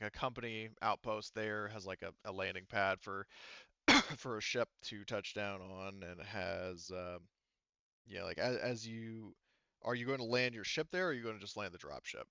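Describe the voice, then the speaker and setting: A man speaking as game master, improvising tabletop game narration in a casual, halting, rambling way and often hedging. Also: lowish voice, bright, forceful tone, clear, resonant tone, dry recording, dull audio.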